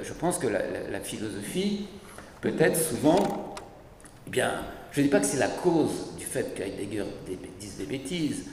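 Hoarse older man in an echoey hall. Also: mid-range voice, a little hiss or hum. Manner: ironic, animated, gestures while speaking. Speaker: speaker at a public debate. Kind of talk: lively public talk on philosophy. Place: large hall, seated at a panel table. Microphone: desk mic on a stand.

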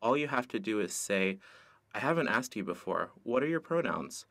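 A man's voice: lowish voice, very animated, moderate pace, fairly bright tone, no room sound. A young man narrating a training video and acting in casual role-play scenes.

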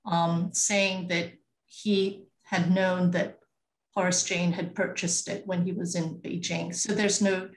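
A woman's voice: lowish voice, flat delivery, slow, reverberant, low-quality recording. A woman museum curator explaining things thoughtfully over a video call. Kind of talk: panel discussion answer.

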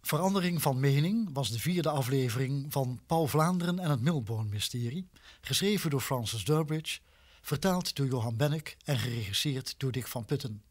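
A man's voice: medium pitch, animated, normal speed. A man reading scripted closing credits as a radio drama announcer.

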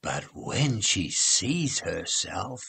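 An older man reading a children's story aloud, with expressive, deliberate phrasing. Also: low-pitched, vocal fry, slightly rough. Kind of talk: children's read-along story narration.

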